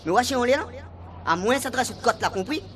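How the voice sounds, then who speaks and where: A high-pitched, very animated cheeky gatekeeper of paradise with a Réunion accent. He is mocking and colloquial in comic radio soap style, over prominent music. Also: fairly quick. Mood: mocking, combative. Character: cheeky, unimpressed Saint Peter, gatekeeper of paradise.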